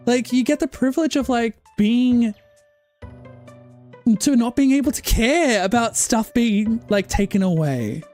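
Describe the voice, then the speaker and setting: A woman's voice: high-pitched, a little mellow. A woman game streamer on a casual livestream, ranting with passion, leaning on "like" and cutting herself off to restart. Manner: impassioned ranting, heavy use of "like"; self-interrupting restarts.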